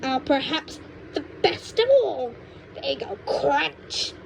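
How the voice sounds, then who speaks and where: Woman putting on a high, snappish old grandmother. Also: takes it slowly, vocal fry, full character voice. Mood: gloating. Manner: scolding, emphatic, snappy. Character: tiny snappish old grandmother, nasty and bossy. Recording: noisy low-quality home microphone recording.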